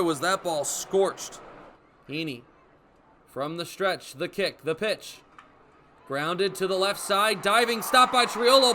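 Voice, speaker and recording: A young American man calling baseball play-by-play for radio, with energetic, vivid running commentary. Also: high voice. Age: young adult. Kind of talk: live radio sports commentary. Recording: live broadcast with ballpark background noise. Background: stadium ambience.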